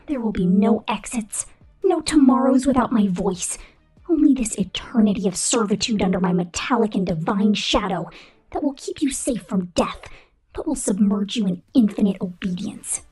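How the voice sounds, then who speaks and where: A woman playing a menacing, possessive robot boss in an ASMR roleplay, commanding and pompous in a deliberate corporate manner. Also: mid-range voice, darkish tone, husky, faint music behind, lightly acted, low-quality recording.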